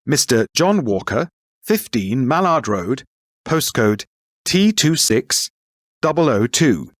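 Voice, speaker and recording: A brisk, businesslike interviewer. His pitch moves a lot as he asks each question. The sound is dry and close. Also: very animated, dry recording. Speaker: interviewer filling in a form. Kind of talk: scripted textbook listening dialogue.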